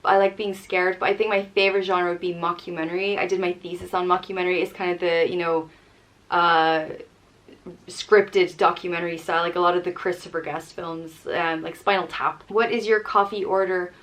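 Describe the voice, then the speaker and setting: A young Irish woman whose accent carries a Canadian tinge talks in a slightly low, easy voice. She reads each question aloud, then answers in a relaxed, chatty way, with small laughs between her thoughts. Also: fairly quick. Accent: Irish with a Canadian-tinged lilt. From Ireland. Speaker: vlogger. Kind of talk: casual question-and-answer chat.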